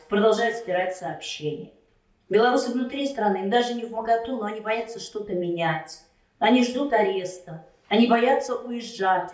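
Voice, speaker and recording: A woman's voice: mid-range voice, far from the mic. Combative woman debating in a roomy room. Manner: combative, sharp retorts. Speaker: debate candidate. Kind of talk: heated political debate. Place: Kyiv.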